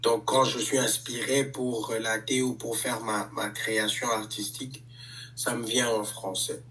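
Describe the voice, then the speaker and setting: Un homme, artiste de hip-hop, qui répond calmement à une interview, avec beaucoup d'hésitations. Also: male voice, medium pitch, distant mic, poor audio. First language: French. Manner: measured, many hesitations. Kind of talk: casual interview answers.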